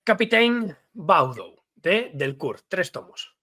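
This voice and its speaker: Male host, higher voice, unhurried delivery, reads a list in short bursts. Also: sing-song, bright, forceful tone. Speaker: podcast host. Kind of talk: casual podcast chat.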